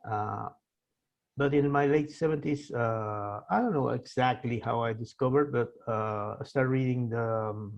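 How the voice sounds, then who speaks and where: Gravelly man in his sixties, Spanish native. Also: mid-range voice. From Argentina. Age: 60s. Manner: halting, many fillers and restarts. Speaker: guest author. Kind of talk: casual interview.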